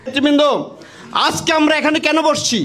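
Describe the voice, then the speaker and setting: A male activist with a Bangladeshi accent gives a meeting speech. His voice is very high and bright, with a forceful edge. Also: fairly even intonation, fairly quick. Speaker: political party activist.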